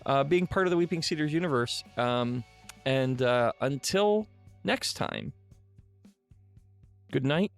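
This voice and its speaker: A man's voice: medium pitch, expressive, takes it slowly, pauses often, soft background music. A man hosting a podcast solo, talking casually and informally to listeners in a self-deprecating way. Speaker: podcast host.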